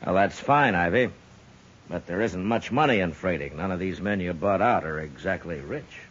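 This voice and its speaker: An actor playing a stern, terse, dry U.S. Marshal in a 1950s radio western. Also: male voice, animated, moderate pace, a bit bright. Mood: dry, probing. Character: stern, laconic U.S. Marshal of the Old West. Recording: muffled, noisy 1950s radio recording with rumble.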